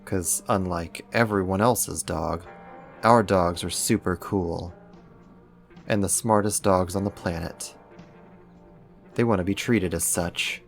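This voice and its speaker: Guy doing a deep slow chill roommate whos secretly a villain, caring, bit evasive, soft asmr roleplay. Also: vocal fry, soft background music, exaggerated voice. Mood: gently firm. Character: young man, secret supervillain roommate, caring, wry, evasive.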